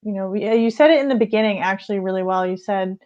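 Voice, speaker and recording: A soft-spoken woman host, low on energy, wanders through her thoughts in a casual chat. Her middle-pitched voice comes through slightly dull, lo-fi audio. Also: quick pace, clear, resonant tone. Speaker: life coach host.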